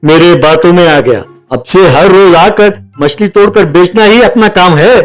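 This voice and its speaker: A man's voice: sits high, a little slow. Man as a greedy elder brother, sly, scheming, envious, cartoon storybook villain, faint music behind. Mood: scheming, gloating. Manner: scheming, wheedling.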